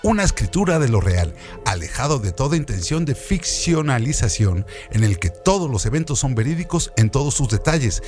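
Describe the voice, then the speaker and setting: A man's voice: medium pitch, big pitch swings, moderate pace, a little mellow, faint music behind. A man presenting scripted educational literary narration in a didactic way, framing facts as rhetorical questions.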